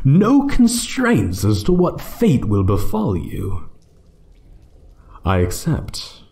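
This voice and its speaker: A man voicing an ancient, playful yet menacing dragon in a fantasy ASMR roleplay, speaking theatrically and condescendingly, sometimes hushed. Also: medium pitch, huge pitch swings, slow, full character voice. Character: ancient male dragon, playful, menacing hoard guardian toying with intruder.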